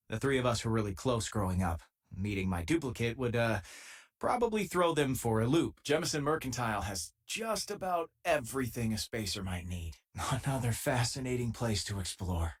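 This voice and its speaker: A man playing an easygoing, wry space-faring companion in a video game, talking casually in a husky voice. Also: medium pitch, animated. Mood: relaxed, conversational. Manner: casual, dryly teasing, hesitant pauses. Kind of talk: video game voice lines, conversational.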